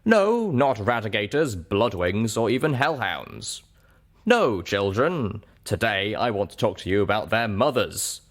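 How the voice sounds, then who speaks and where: A man's voice: lowish voice, sing-song, a little slow. A man voicing a female pony radio DJ, theatrical and folksy as she addresses her listeners with survival tips. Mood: showy, teasing. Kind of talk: radio survival-tip broadcast.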